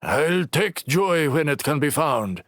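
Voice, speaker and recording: A man's voice: a little high, sing-song, takes it slowly, a bit bright, dry recording, studio quality, pitched above the performer's own voice, heavily acted. A man voicing a blunt council elder who talks in a thick drawl. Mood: firm.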